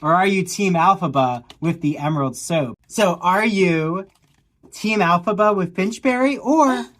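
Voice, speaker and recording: A woman's voice: on the low side, a little slow, dry recording. Gushing woman in her thirties, dry sound. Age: 30s. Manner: gushing, animated, with theatrical gasps. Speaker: podcast co-host. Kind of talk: casual chat with sponsor reads.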